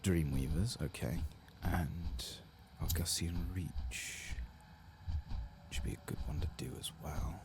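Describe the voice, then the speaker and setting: A man giving soft-spoken, often whispered ASMR commentary as he plays a video game, murmuring and musing aloud in an unhurried way. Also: deep voice, background noise. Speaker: streamer playing a video game.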